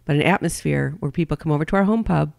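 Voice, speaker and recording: A woman's voice: low voice, quick pace, gravelly, a little mellow, no echo, studio quality. A middle-aged woman co-hosting a podcast, delivering a prepared introduction in the role of interviewer. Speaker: podcast co-host, couples therapist.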